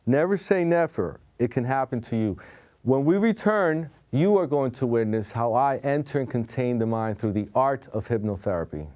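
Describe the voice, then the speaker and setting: A man hosting a talk show and working as a hypnotherapist, asking questions in a relaxed way with a few fillers. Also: medium pitch. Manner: slow dramatic delivery in the intro, then relaxed questioning. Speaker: host, hypnotherapist.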